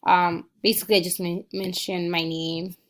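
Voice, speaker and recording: A woman's voice: lowish voice, little pitch movement, moderate pace. Young woman narrating a casual screencast. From Dominican Republic. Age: 20s. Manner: casual and earnest, with frequent ums and small restarts. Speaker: student. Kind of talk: screencast walkthrough of a personal website.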